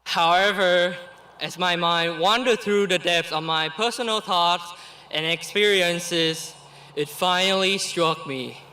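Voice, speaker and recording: A high, bright-toned young man reads out a graduation speech. He takes his time, giving each phrase a slow and careful weight. His delivery is earnest, with a quick laugh now and then. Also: a little hiss or hum. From Vietnam. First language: Vietnamese. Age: late teens. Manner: earnest and deliberate, with small laughs between phrases. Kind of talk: reading a prepared commencement speech.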